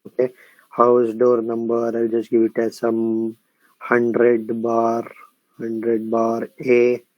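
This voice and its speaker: A man with an Indian English accent talking through a screen-recorded software tutorial. He explains each step, repeats phrases while he types, and keeps checking in with "correct?" and "okay?". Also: medium pitch, completely flat delivery, slow, no room sound, dull audio. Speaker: software instructor.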